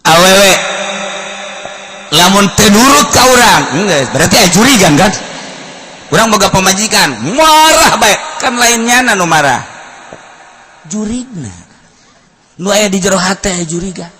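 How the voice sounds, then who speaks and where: An older man with a Sundanese accent giving a humorous sermon, telling animated stories, breaking into laughter and shouts. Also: high voice, measured pace, long pauses. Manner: animated storytelling, shouting and laughing at his own jokes. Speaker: Islamic preacher.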